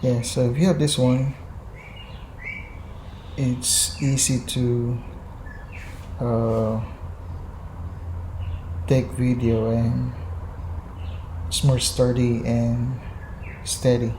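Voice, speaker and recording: A man's voice: mid-range voice, flat delivery, very slow, long pauses, mellow, a little hiss or hum, poor audio. A Filipino man from the Visayas with a Visayan accent, hesitantly showing his filming gear at home and mixing in Tagalog. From Philippines, Visayas. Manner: hesitant, many ums and restarts, mixing in Tagalog. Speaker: vlogger. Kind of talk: casual gear show-and-tell. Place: indoors at home, kitchen. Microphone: phone camera on a chest mount.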